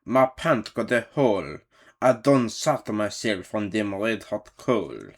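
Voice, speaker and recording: A man's voice: fairly low pitch, expressive, takes it slowly, heavily acted. Man acting a hollering, jolly old Saint Nick. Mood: exclaiming. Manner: hollering, commanding. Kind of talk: storybook verse reading.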